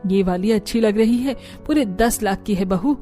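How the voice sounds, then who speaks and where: Lofty rich mother-in-law, mellow woman's performance. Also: medium pitch, moderate pace, soft background music, natural voice. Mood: snobbish. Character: doting, extravagant rich mother-in-law, snobbish about cheap things. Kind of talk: Hindi cartoon story dialogue.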